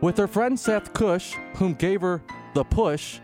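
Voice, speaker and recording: A man on a comedy radio show, reading aloud haltingly and stumbling over words. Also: high voice, darkish tone, soft background music. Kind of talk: halting read-aloud and banter.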